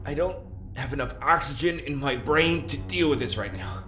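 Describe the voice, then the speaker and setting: Actor doing a tired sardonic guy, halting, tense bright voice, echoey, radio play. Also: mid-range voice, soft background music, close to an everyday voice. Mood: weary. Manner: halting, weary. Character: exhausted, sardonic man, short of air. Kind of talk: audio drama acting.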